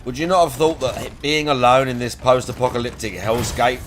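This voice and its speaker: A man's voice: mid-range voice, steady tone, normal speed, a bit bright, slight background noise. A young man giving casual let's play commentary, chatty, sarcastic and profane. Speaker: gaming video host.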